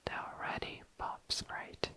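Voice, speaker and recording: Slow whispering ASMR host. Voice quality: breathy unvoiced whisper. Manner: soft, repeats words soothingly. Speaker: ASMR video creator, host. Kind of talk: whispered ASMR craft commentary. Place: indoors at a desk.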